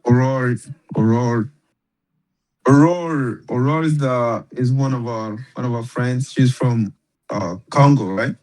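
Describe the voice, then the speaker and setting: Slangy, halting man hosting casually. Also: medium pitch, a little mellow, low-quality recording. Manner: slangy, halting, repeats words. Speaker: podcast host. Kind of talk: casual chat.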